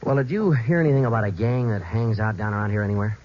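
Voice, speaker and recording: An actor playing a terse, businesslike American police sergeant in a radio crime drama, speaking in a clipped, matter-of-fact deadpan. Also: male voice, fairly low pitch, fast, lightly acted. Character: terse, businesslike male police sergeant narrating his case. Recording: dull old radio recording with music.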